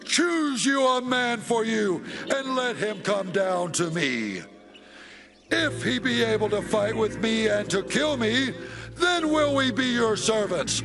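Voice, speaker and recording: An actor playing a boastful giant champion warrior in a dramatized scripture audiobook, declaiming in drawn-out challenges. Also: male voice, high voice, animated, gravelly, music in the background, heavily acted. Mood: taunting challenge.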